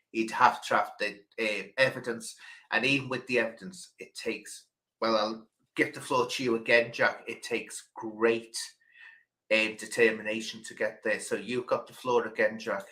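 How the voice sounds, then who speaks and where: A man hosting a spontaneous campaigning talk, speaking haltingly and repeating words for emphasis. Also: mid-range voice, bright, forceful tone, poor audio. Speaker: host.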